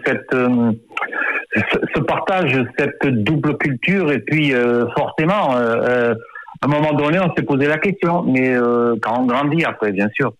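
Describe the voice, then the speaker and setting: A man's voice: mid-range voice, a little slow, dry recording, poor audio. A man in his fifties, an author born in France, talking in a radio interview and hesitating often, with many fillers and repeated words. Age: 50s.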